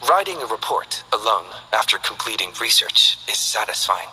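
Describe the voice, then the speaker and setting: An actor playing a calm, reflective male scholar who speaks in a measured, precise way in game character voice lines. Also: on the low side, noisy background. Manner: measured, thoughtful, precise delivery.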